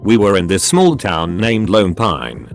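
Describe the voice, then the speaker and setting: A man reading online stories aloud as a scripted narration, in a lively, expressive storytelling manner. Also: sits low, a little slow, faint music behind.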